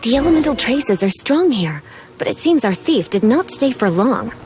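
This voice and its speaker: A woman's voice: fairly high pitch, big pitch swings, normal speed. An actress playing a confident, teasing young female mage librarian in a video game, with a coy, poised manner. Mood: coy, intrigued. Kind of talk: video game character acting. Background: game music.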